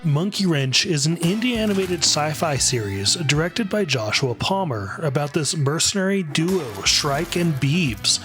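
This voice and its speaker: A man's voice: fairly high pitch, expressive, measured pace, hardly any pauses, over music. A man hosting a scripted video essay of commentary on animation.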